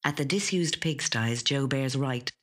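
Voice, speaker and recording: An actor with a slightly husky, breathy voice reading a literary short story in a measured, hushed way. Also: mid-range voice. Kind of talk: scripted literary narration.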